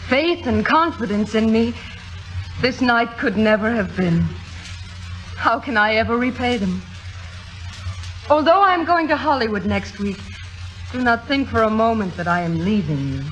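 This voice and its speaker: A woman's voice: a little high, fairly bright tone, poor audio. A young, ambitious woman of the stage in 1950s radio drama. She speaks with theatrical earnestness and a show of modesty over a scheming heart. It is a vintage broadcast with faint music.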